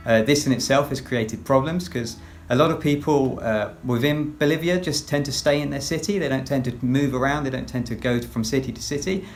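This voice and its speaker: English man speaking in measured tones. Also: mid-range voice, soft background music. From England, West Sussex. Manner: measured, with frequent fillers. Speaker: children's pastor. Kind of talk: recorded personal update.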